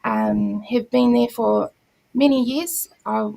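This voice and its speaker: This is a middle-aged woman from New Zealand with a New Zealand accent, whose first language is Māori. Her voice sits a little high and has a strong gravelly fry. She speaks in an unhurried, explanatory way, as if on a video call. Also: steady tone, low-quality recording. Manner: unhurried, formal greeting in Māori then warm explanation. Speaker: school principal and book circle guest. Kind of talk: casual introduction on a video call.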